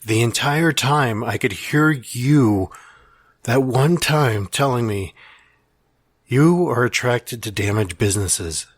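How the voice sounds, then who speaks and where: A man reading a personal letter in a reflective, confessional and plainspoken way, with pauses between thoughts. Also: medium pitch, no echo, clean studio sound.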